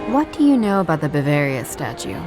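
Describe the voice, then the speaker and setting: A woman's voice: low-pitched, very animated, a little fast, over music. A woman voicing a wry former detective in a noir video game, dry, guarded and understated as she questions people. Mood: dry, probing. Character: wry, world-weary female former detective asking questions. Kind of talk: video game character dialogue.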